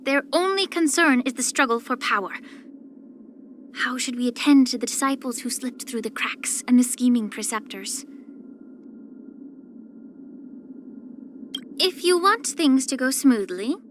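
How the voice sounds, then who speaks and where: A woman voicing a poised, soft-spoken, subtly sly young female healer in a video game, with measured, gentle, composed delivery. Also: high voice, a little hiss or hum, natural voice. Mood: grave, measured. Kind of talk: scripted character voice lines.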